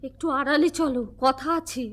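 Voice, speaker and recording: A woman's voice: sits high, steady tone, moderate pace, fairly bright tone. A woman playing a scheming, dramatic wife in an old-fashioned musical folk-tale drama, with a theatrical manner. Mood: secretive.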